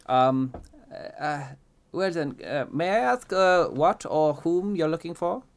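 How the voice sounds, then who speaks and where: A performer voicing a kindly, excitable fancy gentleman who stammers and gushes. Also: mid-range voice, slow, voice pushed up. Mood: flustered. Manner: stammering, effusive.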